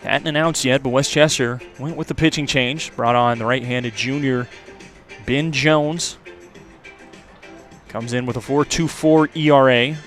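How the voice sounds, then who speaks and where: A man's voice: medium pitch, steady tone, measured pace, fairly bright tone. A male play-by-play announcer with a mid-range voice delivers live baseball commentary for a radio broadcast. He speaks into a broadcast mic at an outdoor ballpark, with music in the background.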